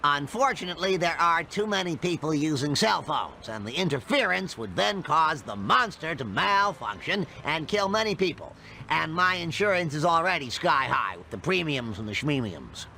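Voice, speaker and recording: Nasal, nerdy cartoon mad scientist. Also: male voice, fairly high pitch, big pitch swings, moderate pace, vocal fry, bright, forceful tone, a little hiss or hum, full character voice. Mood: excitable rambling. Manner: rambling, babbling made-up words. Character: eccentric nerdy middle-aged male mad scientist, cartoon.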